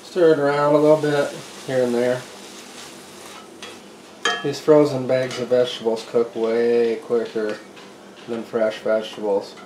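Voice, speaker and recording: Man, relaxed home cook, talking through each step, roomy kitchen, sizzling pan behind. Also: medium pitch, unhurried, pauses often. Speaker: home cook filming meal prep. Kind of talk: casual how-to narration. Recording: echoey room with clear kitchen noise. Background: sizzling pan and stirring.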